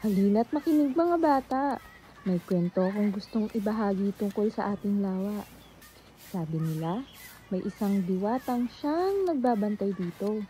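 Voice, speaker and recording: Woman as village keeper of legends, radio drama. Very warm dark tone, inviting, slight background noise. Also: medium pitch, animated, moderate pace, lightly acted. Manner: inviting storyteller. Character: village storykeeper woman, motherly teller of legends. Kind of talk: amateur radio drama acting.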